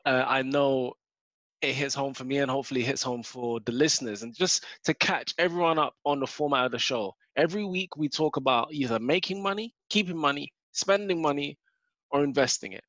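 A man's voice: on the high side, brisk, a bit bright, dry recording. A man hosting a podcast, talking conversationally and steering the chat with questions. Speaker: podcast host. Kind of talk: casual podcast interview. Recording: dull, dry lo-fi recording.

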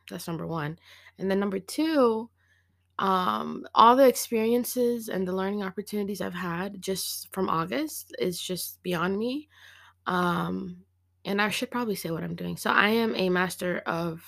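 Slightly hoarse woman with vocal fry. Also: on the low side. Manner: chatty and slangy, many fillers and small laughs. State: slightly hoarse after illness. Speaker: podcast host. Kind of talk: casual solo podcast chat.

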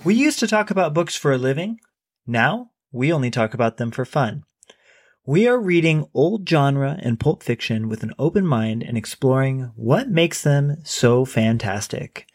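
A man's voice: mid-range voice, animated, moderate pace, no echo, studio quality. A man co-hosting a book podcast, chatting casually with many fillers and restarts. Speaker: podcast co-host.

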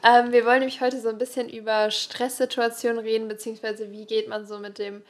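A woman's voice: on the high side, flat delivery, fast. A young woman co-hosting a podcast in casual, friendly chat, dropping English slang into her speech. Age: young adult. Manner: mixes English slang into German. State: tired. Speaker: podcast co-host.

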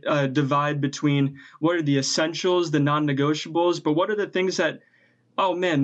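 Man, thoughtful, halting, podcast guest, fairly high pitch, muffled lo-fi recording. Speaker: podcast guest, workshop leader. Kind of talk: podcast discussion.

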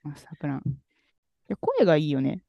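A man co-hosting a podcast, telling a story in a relaxed, rambling way with many fillers. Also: fairly high pitch, fast talker, creaky, no echo, highs cut off. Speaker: podcast co-host. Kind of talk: casual chat about music.

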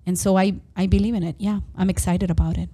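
Woman, earnest guest, lowish pitch, dark mellow tone, "right?" tags. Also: steady tone, fast talker. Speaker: interview guest. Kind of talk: casual chat.

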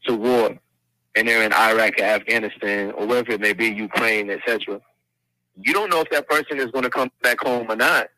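A man in his forties, a podcast guest, speaking in a measured, earnest way with religious turns of phrase. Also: fairly low pitch, sharp tone, no echo, low-quality recording. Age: 40s. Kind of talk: casual podcast chat.

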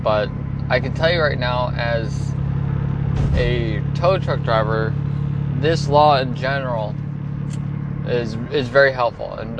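A man with a stutter talks casually about safety on the road, repeating and restarting words as he goes. He is a tow truck driver and pleads earnestly with drivers. Loud traffic roars behind him outdoors. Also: mid-range voice. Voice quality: stutters, frequent repetitions and restarts. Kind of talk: casual vlog talk to camera. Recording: loud roadside traffic noise.

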